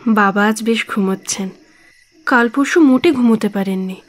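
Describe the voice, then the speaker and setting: A woman's voice: on the high side, normal speed, lightly acted. An actress playing a reserved, dutiful young woman in an audio drama, speaking in a matter-of-fact way. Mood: matter-of-fact, resigned. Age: young adult.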